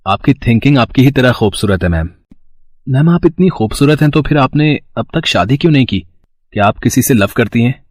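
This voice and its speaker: A man's voice: medium pitch, animated, fairly quick, no room sound, natural voice. A young man voicing an infatuated male student in a Hindi audio story, earnest and pleading, often starting with a hesitant "uh". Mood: admiring.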